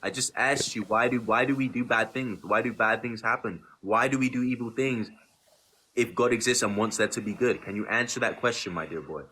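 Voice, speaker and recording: A man's voice: medium pitch, brisk, sharp tone, a little hiss or hum, rough recording. A man hosting a live-stream debate, grilling callers with repeated questions in a condescending tone. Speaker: debate host.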